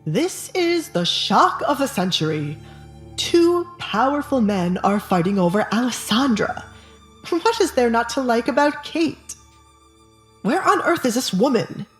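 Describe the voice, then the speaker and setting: A woman's voice: a little high, very animated, measured pace, reverberant, faint music behind, pitched above the performer's own voice. A woman playing a weary, irritable middle-aged husband with secrets, grumbling dismissively in an audio drama. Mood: exasperated.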